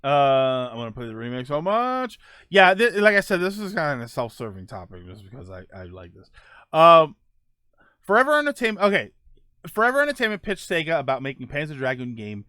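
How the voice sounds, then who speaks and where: A man's voice: fairly high pitch, sing-song, brisk, pushed and bright, no echo, studio quality. A man hosting a casual podcast, reading viewer topics and tweets aloud with many ums and restarts. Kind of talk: casual solo podcast chat.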